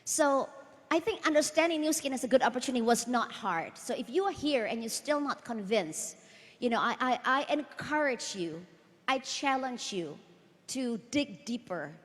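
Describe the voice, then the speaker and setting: A woman's voice: fairly high pitch, expressive, moderate pace. A woman from Indonesia in her thirties giving an earnest motivational talk to a conference audience, often repeating words and asking the crowd questions. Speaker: conference speaker. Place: large hall. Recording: long hall reverb.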